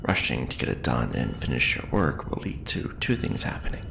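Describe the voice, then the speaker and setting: A man playing a seasoned, patient and wry craftsman teacher in an ASMR roleplay, speaking in a measured, hushed way with dry humor. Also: extremely low pitch, big pitch swings, gravelly, roomy sound, noisy background, pitched below the performer's own voice, full character voice. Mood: patient, cautioning. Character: seasoned male sci-fi fantasy mask-forging craftsman teacher, patient, wry. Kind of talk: ASMR roleplay, instructional monologue.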